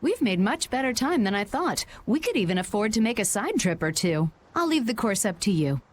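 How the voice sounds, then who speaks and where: A woman's voice: medium pitch, sing-song, a little fast, a little hiss or hum, close to an everyday voice. A woman voicing a composed, businesslike adult merchant leader in a video game dub. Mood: pleased, composed.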